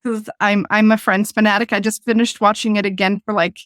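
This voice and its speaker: A woman's voice: medium pitch, little pitch movement, fairly quick, a bit bright, no echo, studio quality. A laid-back, chatty woman hosting a podcast from a room at home on her own desk microphone, while she is losing her voice. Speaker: podcast host. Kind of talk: casual chat. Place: home room with blinds closed.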